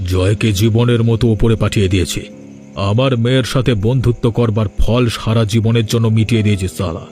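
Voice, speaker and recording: A man's voice: on the low side, steady tone, moderate pace, mellow, soft background music, natural voice. A man playing a menacing, vengeful village villain in a cartoon drama. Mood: gloating. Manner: threatening, gloating.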